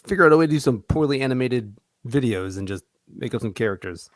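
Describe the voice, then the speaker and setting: A man's voice: mid-range voice, expressive, fast talker, dry recording, clean studio sound. A man co-hosting a podcast in casual chat, trading wry jokes and banter. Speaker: podcast co-host.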